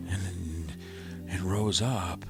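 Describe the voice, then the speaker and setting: An actor playing an exhausted, scholarly survivor of a Martian invasion in a halting, grave radio drama style. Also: male voice, low-pitched, steady tone, over music, heavily acted. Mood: tense.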